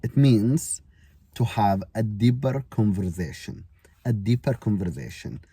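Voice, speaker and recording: A man teaching to learners, repeating each example phrase slowly, with grammar. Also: fairly low pitch, expressive, warm tone, slightly rough, no echo. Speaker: English teacher. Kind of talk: casual spoken English lesson. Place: Canada.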